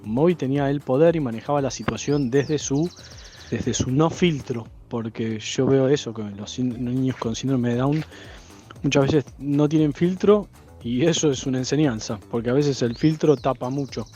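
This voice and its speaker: A man's voice: mid-range voice, normal speed, dark tone, faint music behind. A man with an Argentine accent giving reflective interview talk.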